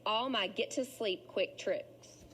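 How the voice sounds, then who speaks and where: A woman reads as a sleepy male crab from a picture book. He is proud of his tricks and gives them out with helpful confidence. The recording has clear noise. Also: mid-range voice, slow pace, a bit bright.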